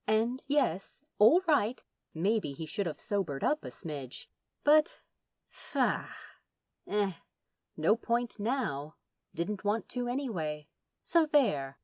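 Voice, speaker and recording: Animated woman narrator, unhurried. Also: fairly low pitch, no room sound, studio quality. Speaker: audiobook narrator of fan fiction. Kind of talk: expressive scripted narration.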